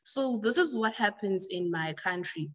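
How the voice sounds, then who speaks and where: A woman with a South African accent talks in a conversational interview style. Her voice sits at a medium pitch. She explains things carefully, often repeating and rephrasing as she goes. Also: animated, normal speed, a bit bright, rough recording. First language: Xhosa. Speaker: language tutor being interviewed.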